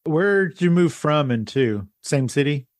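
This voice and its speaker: A man's voice: a little high, animated, unhurried. A man hosting a podcast, introducing his guest and chatting casually with enthusiasm. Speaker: podcast host. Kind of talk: casual interview chat.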